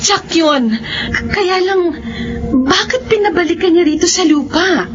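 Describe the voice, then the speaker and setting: Tremulous, very high-voiced wife. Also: female voice, lively intonation, unhurried, music in the background. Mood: awed. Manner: tremulous, stammering. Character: emotional wife of a man back from death. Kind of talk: radio horror drama acting. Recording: dull, band-limited radio drama sound.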